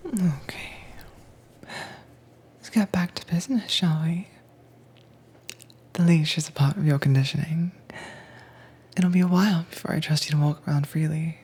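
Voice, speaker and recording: A woman playing a dominant, teasing mistress in an intimate roleplay audio, soft-spoken and coaxing but commanding, with a breathy, whispery voice. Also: low-pitched, exaggerated voice. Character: dominant, teasing mistress training her werewolf pet.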